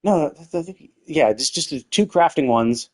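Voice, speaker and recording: A man's voice: a little high, expressive, moderate pace, darkish tone. A man chatting casually on a gaming livestream in a rambling, conversational way, with loose, casually profane talk. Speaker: live streamer.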